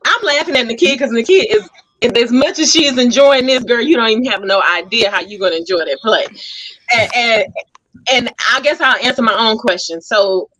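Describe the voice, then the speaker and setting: A woman hosting a lively, casual group chat, teasing and exclamatory as she talks. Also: fairly high pitch, a little fast, a little hiss or hum, poor audio. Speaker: talk show host and stage play actress.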